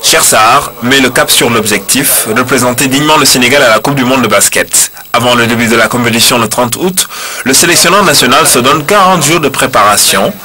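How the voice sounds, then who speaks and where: A man reading a scripted sports report as voice-over, crisp and even. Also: mid-range voice, fairly quick.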